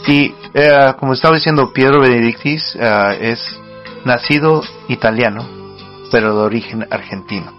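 A man's voice: medium pitch, normal speed, highs cut off, faint music behind. A male radio host chatting casually, rambling with many fillers and laughing often.

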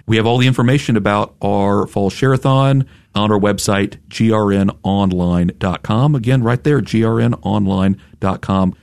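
A man co-hosting a radio fundraiser, chatty and quick to laugh while he reads out pledge details. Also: on the low side, steady tone, vocal fry, a little mellow, clear, resonant tone, no echo, clean studio sound. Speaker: radio host. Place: radio studio.

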